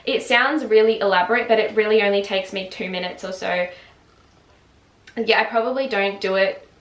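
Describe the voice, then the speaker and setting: Female, 20s, relaxed filler-heavy home vlog delivery, mid pitch, roomy sound. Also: normal speed. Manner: chatty, lots of "like" fillers, laughs often. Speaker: beauty vlogger. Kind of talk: casual chat to camera. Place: home, just woken up. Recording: roomy echo.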